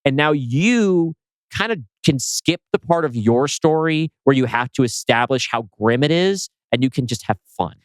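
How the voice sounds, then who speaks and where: A man's voice: mid-range voice, lively intonation, normal speed, no echo. A man talking casually as a podcast co-host, discussing writing in a conversational way. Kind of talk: casual chat about scriptwriting.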